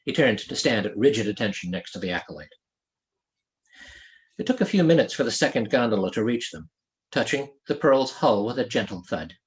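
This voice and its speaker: A man narrating a story as an author reading his own novel, in an expressive storytelling delivery. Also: medium pitch, a little fast. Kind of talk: scripted audiobook narration.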